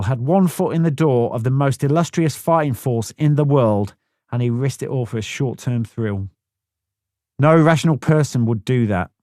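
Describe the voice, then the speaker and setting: A man's voice: medium pitch, warm tone, no echo. Blunt man reading a memoir, dry. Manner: blunt, plain-spoken, with frank swearing. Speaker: audiobook narrator. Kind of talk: first-person memoir narration.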